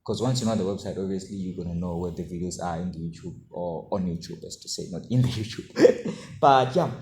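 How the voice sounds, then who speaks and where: A man giving an informal coding tutorial to camera, halting as he explains and often checking in with short tags. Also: sits low, darkish tone. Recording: roomy echo, faint background noise.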